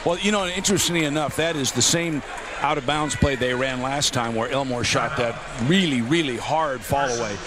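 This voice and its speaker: A man's voice: mid-range voice, normal speed. Instructive male basketball analyst, noisy arena. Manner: instructive, coach-like. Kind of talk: live game analysis. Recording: noisy live arena broadcast.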